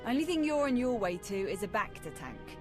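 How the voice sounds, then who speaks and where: Actress as a wry female military major, loud music. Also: medium pitch, very animated, a little fast, natural voice. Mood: wry, businesslike. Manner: dry, sardonic, informal clipped phrasing. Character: wry, battle-hardened female Imperial major, teasing and brisk. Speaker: military officer character in a video game. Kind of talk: video game voice acting. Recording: loud game music behind.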